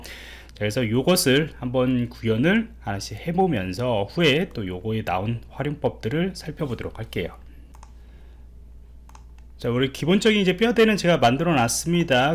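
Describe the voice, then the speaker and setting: A man walks through code in a screen-recorded lesson, his pitch rising and falling in an animated way. He explains at an easy pace, with plenty of fillers and little rhetorical questions to the learner. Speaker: programming instructor. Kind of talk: screen-recorded coding tutorial.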